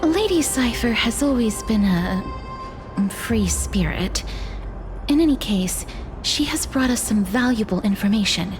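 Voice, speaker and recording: A woman playing a gentle, solemn young maiden princess in a video game, speaking in a measured, formal, pensive way. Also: a little high, animated, over music. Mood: calm, hopeful.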